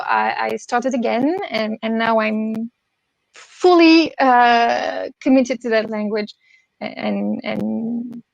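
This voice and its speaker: French woman with a high voice. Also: gravelly, dry recording, poor audio. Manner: hesitant, many fillers and restarts, laughs often. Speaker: guest. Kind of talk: casual conversation.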